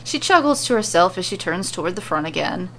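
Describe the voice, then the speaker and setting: A woman's voice: on the low side, big pitch swings, brisk. A woman storyteller reading scripted fantasy narration, with ambient sound effects behind her. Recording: faint background noise and ambience.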